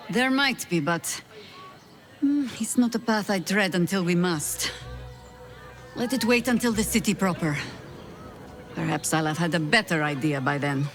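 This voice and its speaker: An actress voicing a weary, wry middle-aged woman agent in a video game, measured and self-deprecating, over music. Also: lowish voice, expressive, a bit bright. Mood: wry, guarded. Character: weary, wry veteran high-ranking woman harper agent. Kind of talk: video game dialogue acting, reflective storytelling.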